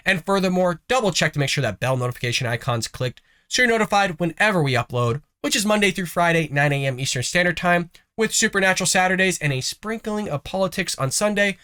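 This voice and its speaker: A man's voice: high-pitched, lively intonation, fast, hardly any pauses, bright, forceful tone, smooth. A man hosting a political commentary show, talking casually with folksy, sarcastic asides while congested from a cough. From United States. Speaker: host of a conservative news show.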